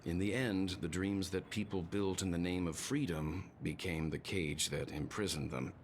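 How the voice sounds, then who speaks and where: A man voicing a composed, courteous, probing gentleman in a video game, talking in a polite, deliberate, thoughtful way. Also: sits low, steady tone, a little hiss or hum, close to an everyday voice. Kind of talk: video game voice acting, measured dialogue. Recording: loud music under the voice. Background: loud music.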